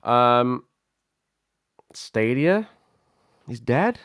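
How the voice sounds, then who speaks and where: A man hosting a casual tech livestream, chatty and greeting viewers by name, his voice a little croaky from a cold. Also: mid-range voice, very animated, extremely slow, a bit bright, no echo, studio quality. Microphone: studio microphone.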